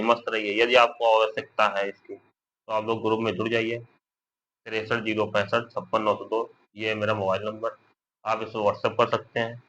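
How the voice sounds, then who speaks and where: Bright, forceful young man explaining. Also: medium pitch. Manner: repetitive, plain-spoken explaining, with colloquial asides to listeners. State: slightly unwell. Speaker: exam news vlogger. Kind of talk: informal explanatory update to viewers. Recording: dull lo-fi audio, faint background noise.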